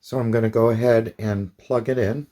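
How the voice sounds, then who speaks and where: A man's voice: medium pitch, steady tone, moderate pace, mellow. A man giving a hands-on tutorial, explaining each step plainly as a hobbyist presenter.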